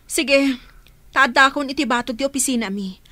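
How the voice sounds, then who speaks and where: A woman's voice: sits high, normal speed, pushed and bright. Woman playing earnest, caring Flora. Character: caring woman named Flora, recently returned, concerned for orphans. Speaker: actor in radio drama. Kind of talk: radio drama acting.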